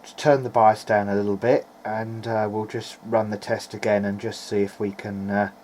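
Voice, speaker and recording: A man's voice: lowish voice, little pitch movement, moderate pace, a little mellow. A man giving an informal, hesitant video-diary narration as he tests equipment, thinking aloud in a noisy amateur recording. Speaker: hobbyist electronics repairer.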